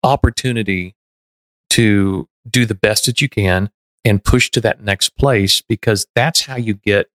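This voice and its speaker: A man's voice: lowish voice, a little slow, a bit bright, dry recording, rough recording. Earnest man from Texas, dry studio sound. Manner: earnest, self-reflective, frequent restarts. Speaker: podcast host. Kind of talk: casual conversation.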